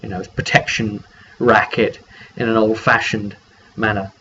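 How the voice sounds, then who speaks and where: A man's voice: on the low side, little pitch movement, normal speed, pushed and bright. A man giving a casual, rambling, conversational game master's recap of a tabletop role-playing session in a one-take vlog. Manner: rambling, conversational, acts out brief dialogue. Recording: dull lo-fi sound, clear background noise.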